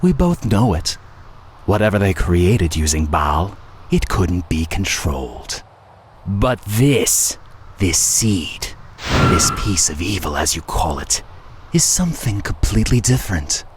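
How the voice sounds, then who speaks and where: A scheming male game villain, with a low voice over clearly noisy ambience. He speechifies with theatrical condescension, taunting and hungry for war and chaos. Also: big pitch swings, measured pace. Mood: smug explaining. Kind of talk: dramatic video game voice acting.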